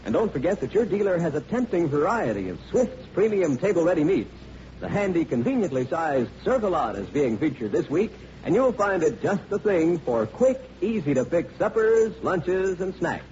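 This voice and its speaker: A man's voice: high-pitched, big pitch swings, moderate pace, darkish tone. A man announcing and reading sponsor spots in cheerful, emphatic 1940s radio style. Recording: dull, faintly noisy vintage radio recording.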